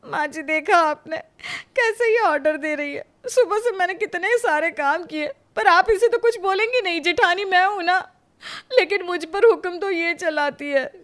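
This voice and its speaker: A woman's voice: very high-pitched, moderate pace, a bit bright. A woman voicing a manipulative, tearful elder daughter-in-law in a moral cartoon story, wailing with self-pity. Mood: tearful complaining. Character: whiny, manipulative elder daughter-in-law who fakes crying.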